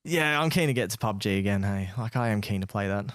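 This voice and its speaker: A man's voice: lowish voice, expressive, quick pace, clear, resonant tone, no echo, clean studio sound. Blokey Australian man chatting about games. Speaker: gaming podcast co-host. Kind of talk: casual gaming news chat.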